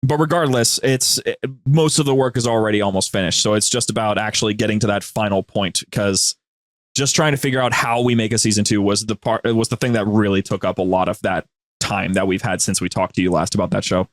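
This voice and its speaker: A young man chatting casually as a podcast guest, telling his anecdotes in an animated, slangy way. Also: fairly low pitch, fairly quick, no room sound, clean studio sound. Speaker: podcast guest, audio drama creator. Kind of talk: casual interview chat.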